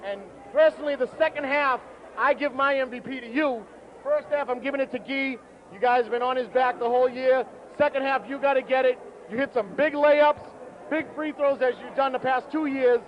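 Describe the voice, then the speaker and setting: A man's voice: very high-pitched, fairly even intonation, a little fast, highs cut off, a little hiss or hum. A man interviewing a player after the game, blunt and joking in his praise. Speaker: sideline interviewer.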